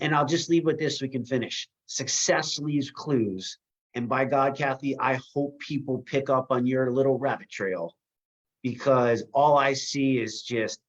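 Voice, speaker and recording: A man's voice: mid-range voice, muffled recording. A man speaking on a group call, giving an emphatic, inspirational tribute. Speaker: community member giving a testimonial. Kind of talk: motivational speech on a call.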